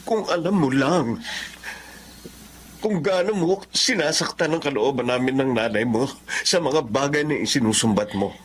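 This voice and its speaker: A man's voice: medium pitch, lively intonation, moderate pace, close to an everyday voice. A middle-aged man acting a humble, wounded father in a Filipino radio drama, earnest and sorrowful. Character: hurt, humble, unschooled father of a rebellious daughter.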